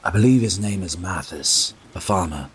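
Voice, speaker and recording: Guy playing a steady loyal knight captain, low voice, fantasy audio drama. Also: moderate pace, slight background noise. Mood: formal. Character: loyal, measured captain of the king's guard.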